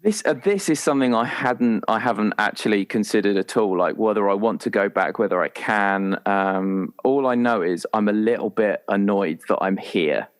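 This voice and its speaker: Low-voiced man chatting through character ideas. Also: moderate pace, hardly any pauses. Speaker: player creating a roleplaying character. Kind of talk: casual, improvised character discussion.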